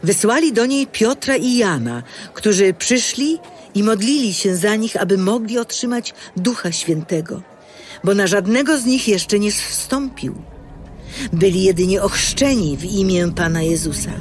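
A woman's voice: mid-range voice, animated, unhurried. A woman reading scripture as scripted narration.

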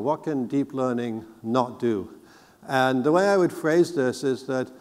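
A middle-aged man giving a conference keynote on a technical subject, explaining in a measured, deliberate way. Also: mid-range voice. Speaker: keynote speaker. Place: large indoor conference hall, on stage. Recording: reverberant hall sound.

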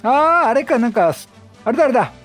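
A man doing excitable, chatty game commentary, reacting aloud and bantering with the characters. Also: very high-pitched, quick pace, soft background music. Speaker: gaming streamer, let's play host.

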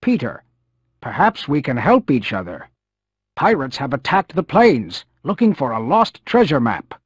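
Very husky, solemn chief. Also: male voice, medium pitch, wildly swooping pitch, no echo, exaggerated voice. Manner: stately, formal, measured phrasing. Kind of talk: cartoon video game acting. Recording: dull, lo-fi game audio.

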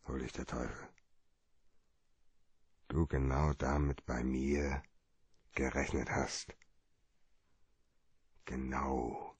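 Hard-boiled cynical private eye for radio drama: very low, gravelly, blunt, argumentative. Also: male voice, no echo, full character voice. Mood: grim, resigned. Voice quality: low, rough-edged voice. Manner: blunt, argues point by point. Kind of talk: radio play acting.

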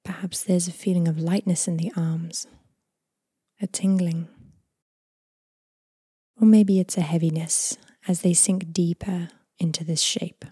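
A woman leading a guided body scan meditation in a soft, breathy, near-whispered voice, gentle, unhurried and soothing. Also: fairly low pitch, no echo, clean studio sound. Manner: gentle, unhurried, calming delivery with long phrases trailing off.

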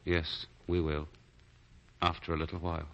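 A deep-voiced, sorrowful gentleman lover in a 1940s radio romance. He speaks earnestly and with measure, on a muffled old broadcast. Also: monotone, moderate pace. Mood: wistful, evasive. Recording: muffled 1940 radio broadcast, faint music.

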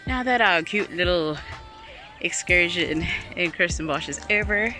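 A woman's voice: on the low side, unhurried, pushed and bright, soft background music. A young adult woman chatting in a casual, playful vlog style with slangy, joking turns. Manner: slangy, jokey. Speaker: vlogger. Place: outdoors on garden paths and trails, later an ice cream shop.

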